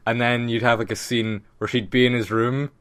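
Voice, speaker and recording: A man's voice: medium pitch, little pitch movement, brisk, fairly bright tone, smooth. A man co-hosting a podcast with casual comedic riffing, joking and acting out little bits. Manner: joking, often laughing, acts out bits. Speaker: podcast co-host.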